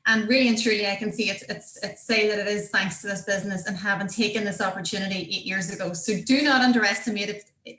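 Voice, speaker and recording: A woman's voice: medium pitch, fairly bright tone, roomy sound. An Irish woman from Donegal giving an enthusiastic, upbeat motivational training talk on a group call. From Ireland (Donegal). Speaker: marketing director giving a team training.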